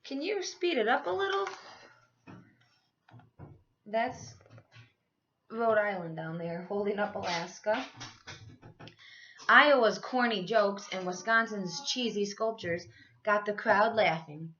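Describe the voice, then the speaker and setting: A woman reading a children's picture book aloud like a teacher, in an expressive, animated manner. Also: medium pitch, unhurried, slight background noise. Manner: animated, acts out dialogue. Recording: dull, lo-fi sound.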